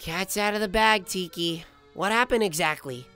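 Lowish, wry cat creature voiced by a woman. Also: moderate pace, a bit bright, faint music behind. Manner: wry, blunt. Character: small, blunt, wry magical cat creature. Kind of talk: fan comic dub acting.

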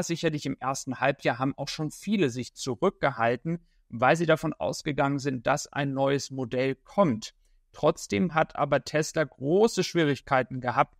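A man's voice: mid-range voice, no echo, clean studio sound. A man, giving informal, explanatory news commentary to his viewers as an online host. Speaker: YouTube host presenting electric car news.

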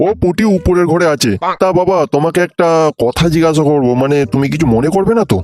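A scheming middle-aged cartoon father, acted by a man, with a somewhat high voice. He speaks briskly and pushes his point with mock-chummy insistence. Music runs clearly underneath. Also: close to an everyday voice. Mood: coaxing, chummy. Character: sly middle-aged father, falsely friendly, then scolding. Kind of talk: Bengali comedy cartoon dubbing.

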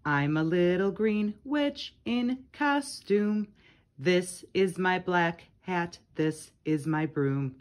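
A woman's voice: fairly low pitch, lively intonation, takes it slowly. A woman reading children's nursery rhymes aloud from a picture book, speaking to young listeners. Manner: addresses young listeners with questions and short comments.

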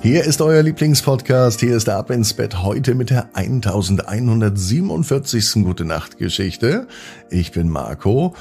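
A man hosting a children's podcast, narrating expressively and gently with drawn-out emphasis. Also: fairly low pitch, vocal fry. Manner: gentle, drawn-out emphasis, addressing young listeners. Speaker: children's podcast host and storyteller.

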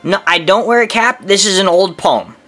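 A woman's voice: mid-range voice, expressive, moderate pace, a bit bright. A woman voicing a kindly troll dad reading a bedtime Christmas poem to children, theatrical and patient. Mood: gently explaining. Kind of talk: storybook verse narration for children. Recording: background music bed.

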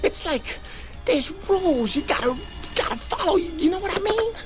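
An actor playing a jittery, paranoid American radio disc jockey in a video game, with an urgent, stammering delivery. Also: male voice, very high-pitched, expressive, moderate pace, over loud music. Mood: urgent, nervous.

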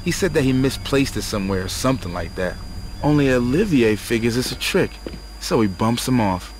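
A man's voice: mid-range voice, lively intonation, a little fast, slight background noise, close to an everyday voice. A man playing a suspicious, foul-mouthed, jittery sidekick in a video game, hesitant and grumbling. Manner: hesitant, grumbling, casual swearing. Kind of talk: video game cutscene acting.

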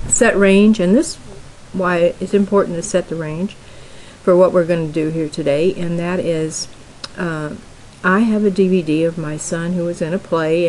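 A middle-aged woman hosting a software tutorial, explaining each step plainly and slowly with frequent fillers. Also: lowish voice, a little hiss or hum, rough recording. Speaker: tutorial host. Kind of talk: screen-recorded software tutorial.

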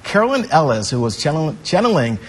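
A man's voice: a little high, big pitch swings, brisk, breathy edge. An American man hosting a live morning television interview in a polite, affirming manner. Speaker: TV morning show host.

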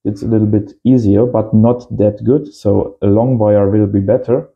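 A man's voice: on the low side, fairly even intonation, moderate pace, muffled recording. A man in his thirties giving a casual hands-on tutorial as host, in simple short phrases. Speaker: host of amateur radio channel. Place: small indoor home workshop.

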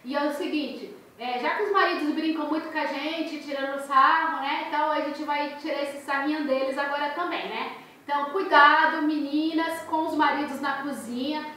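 A high, bright-voiced woman in her thirties with a Brazilian Portuguese accent, chatting to her viewers as she tells a story with lively expression. She sits at a desk in a small bedroom. The camera's mic picks her up from a little distance, and the room rings with strong echo. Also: moderate pace, slight background noise. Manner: animated, expressive storyteller, gestures a lot. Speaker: crochet and crafts channel host. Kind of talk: casual storytelling vlog to viewers.

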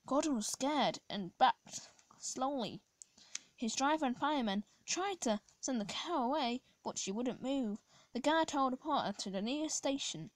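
A hoarse woman, a children's channel host, reading a picture book aloud at home, halting and restarting often. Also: high voice, no room sound. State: hoarse from a sore throat. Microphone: phone camera over the book.

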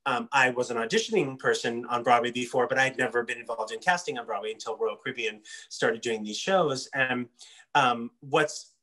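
A man's voice: medium pitch, moderate pace, bright, forceful tone, poor audio. A man who works as a casting director, giving thoughtful, explanatory answers in an interview.

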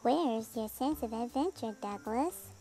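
A woman's voice: on the high side, expressive, a little slow, dark tone, faint music behind. A woman voicing a confident, bossy little girl who exclaims her big plans in a children's storybook. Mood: bossy, dismissive. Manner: exclaiming, insistent. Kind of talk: children's storybook read-aloud.

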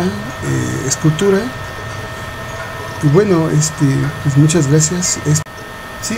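A man with a somewhat high voice talks into a phone camera in a big outdoor sculpture workshop. He sounds friendly and hesitant, full of fillers, and steady background noise runs under the talk. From Mexico. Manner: friendly, halting, many fillers. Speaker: sculptor and interviewer. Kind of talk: casual on-site interview. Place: large open-air sculpture workshop.

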